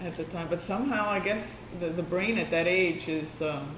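Native Spanish speaker, mid-pitched, reflective interviewee, echoey room. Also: normal speed, background noise. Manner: reflective, thoughtful recollection with small restarts. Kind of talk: oral history interview.

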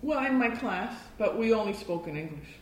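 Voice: medium pitch, animated, fairly quick, a little hiss or hum. An interviewee whose first language is Spanish, thoughtfully recalling childhood memories with small restarts. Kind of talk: oral history interview. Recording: echoey room.

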